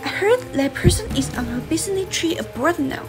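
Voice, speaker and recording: A young woman plays an inquisitive female investigator in a student radio drama. Her voice is high, her English has a Taiwanese accent, and music plays clearly behind it. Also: animated, fairly quick. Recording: voice over clear background music.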